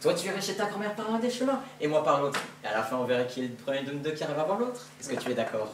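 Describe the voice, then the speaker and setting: A student actor playing a sly, hungry male wolf who coaxes gently, heard from far off in an echoey school hall. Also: medium pitch, very animated, racing, background noise, close to an everyday voice. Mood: coaxing. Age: teenager. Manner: gentle coaxing, feigned sweetness. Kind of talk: school stage play. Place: school stage.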